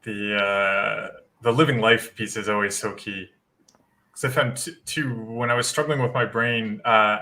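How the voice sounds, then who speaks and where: A man speaking as a guest on a live-stream chat. His voice is bright and forward, and he explains his points in a reflective, encouraging way, at a middling pitch.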